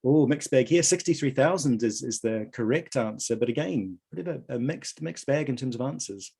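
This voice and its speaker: A man hosting a live online quiz, reading out questions and answer options in a measured way. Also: medium pitch, lively intonation, vocal fry, warm tone, slightly rough. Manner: reads options aloud in a measured list. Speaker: quiz host at an online conference.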